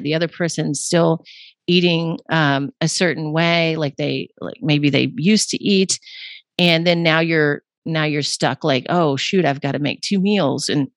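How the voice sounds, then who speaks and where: A woman guest who writes on nutrition, talking casually in a rambling way with many fillers, her voice a little hoarse today. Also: deep voice, dry recording. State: slightly hoarse. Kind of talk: casual podcast conversation.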